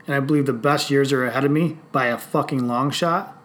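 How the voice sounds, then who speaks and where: A man in his late thirties talking casually on a podcast, animated and swearing freely as he tells stories. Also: medium pitch, fairly quick. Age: 30s. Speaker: podcast host.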